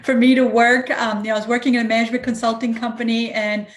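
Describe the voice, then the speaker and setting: This is a woman with a fairly high voice, answering a panel question. She speaks in a chatty, fluent way. The sound comes over a video call. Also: flat delivery, fast talker, a little hiss or hum. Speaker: panel guest, entrepreneur. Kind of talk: conversational answer.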